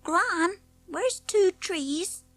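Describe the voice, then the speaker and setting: A woman's voice: extremely high pitch, animated, very slow, fairly bright tone, dry recording, faint music behind, full character voice. A woman voicing a small, helpful country girl in a children's audiobook. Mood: curious, helpful. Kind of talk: children's audiobook character acting.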